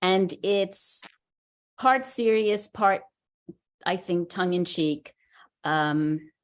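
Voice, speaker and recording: Slow, measured woman reading poetry. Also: lowish voice. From United States. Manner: measured, unhurried recitation. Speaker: poet. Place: reading at a writers center.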